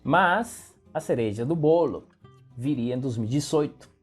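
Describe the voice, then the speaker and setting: A young man with a Venezuelan Spanish accent gives political commentary in a somewhat husky voice. He is a native Spanish speaker who talks in an emphatic, explanatory way, straight to his viewers. Soft guitar music plays faintly behind him. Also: medium pitch, expressive, unhurried.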